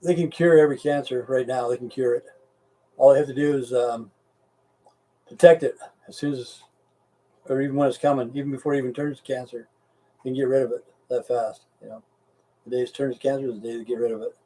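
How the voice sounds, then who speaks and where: Mellow-voiced, foul-mouthed American man rambling. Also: mid-range voice, fairly even intonation, fast talker, long pauses, distant mic, poor audio. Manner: profane, blunt, folksy. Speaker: live stream host. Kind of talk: casual rambling chat with viewers.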